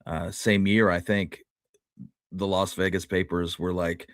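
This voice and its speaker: A man's voice: low-pitched, level tone, unhurried, no room sound, clean studio sound. A man co-hosting a history discussion in an easygoing, conversational way. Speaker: podcast co-host.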